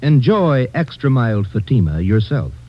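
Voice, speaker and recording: Animated, husky vintage radio pitchman. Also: unhurried. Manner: emphatic salesman delivery. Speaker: commercial announcer. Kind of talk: 1950s radio cigarette ad pitch. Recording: dull vintage radio recording.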